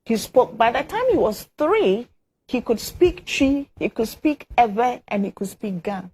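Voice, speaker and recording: A woman's voice: fairly high pitch, big pitch swings, unhurried, a little mellow, breathy edge. A woman with a Ghanaian accent, a guest on a TV studio panel, telling a story earnestly and with emphasis. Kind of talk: TV panel discussion.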